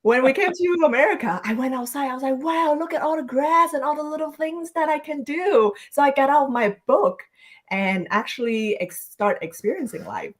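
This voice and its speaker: A woman's voice: high voice, normal speed. A woman from Vietnam, a podcast guest on a video call, telling her life story in a reflective way and laughing easily. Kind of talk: casual storytelling interview.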